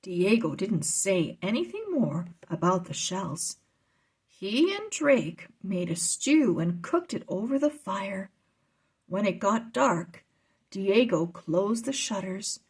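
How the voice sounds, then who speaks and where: A woman narrates a children's story aloud. Her voice is very husky and soft-edged, and she reads slowly with lively rises and falls. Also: mid-range voice. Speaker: storybook reader.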